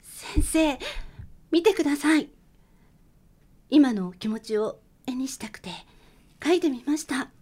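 Woman as an earnest high-pitched schoolgirl. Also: animated, takes it slowly, long pauses, a little mellow. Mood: shy, hopeful. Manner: hesitant, polite, earnest. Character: earnest, timid teenage schoolgirl eager for teacher's approval. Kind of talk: radio drama acting.

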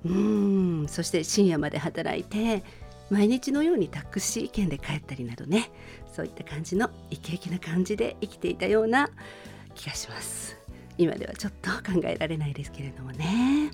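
A woman's voice: fairly low pitch, animated, a little fast, darkish tone. A middle-aged woman hosting a radio show, introducing a song in a polite, conversational monologue addressed to listeners. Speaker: radio show host and presenter. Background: music.

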